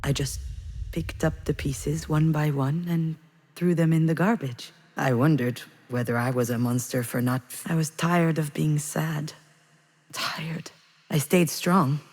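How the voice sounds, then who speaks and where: An actress playing a grieving, reflective screenwriter who confides her story in measured, intimate first-person narration for a video game. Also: low voice, steady tone, darkish tone, husky. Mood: quietly resolved. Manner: measured, introspective, pausing between phrases. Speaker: narrator of a visual novel.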